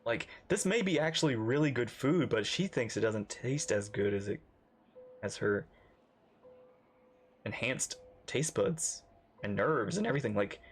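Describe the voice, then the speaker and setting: A man's voice: medium pitch, animated, a little slow, pauses often, a little hiss or hum. A man giving slangy, empathetic, off-the-cuff commentary as the host of a reaction video.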